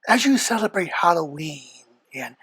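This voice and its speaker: A performer voicing the Devil as a sly, haughty old boss, formal and deliberate, husky and whispery, bantering as a podcast guest. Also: mid-range voice, big pitch swings, fairly bright tone, low-quality recording. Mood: sly, menacing. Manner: formal, deliberate, darkly threatening.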